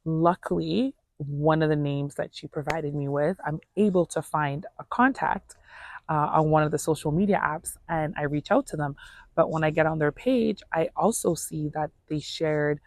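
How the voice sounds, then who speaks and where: Woman, deep-pitched voice, casual storytelling, earnest and conversational, close dry sound. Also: moderate pace, dry recording. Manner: earnest, conversational, acts out reported dialogue. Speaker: storyteller vlogger.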